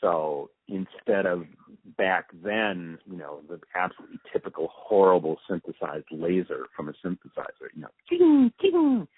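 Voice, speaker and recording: Male interviewee, low and husky, emphatic storyteller recalling past work, narrow telephone sound. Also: huge pitch swings, normal speed, no room sound. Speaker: interviewee, radio drama post-production supervisor. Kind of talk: reminiscing in an interview. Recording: muffled phone line, dry.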